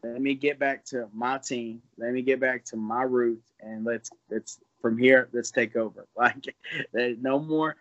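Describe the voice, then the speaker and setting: A young man in his mid-twenties answers questions in a loose, rambling, casual way, circling back on his words and laughing between thoughts. His voice sits at an ordinary pitch and comes through a dull, slightly muffled recording. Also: steady tone, measured pace, a bit bright. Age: 20s. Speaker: fighter. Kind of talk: casual interview talk.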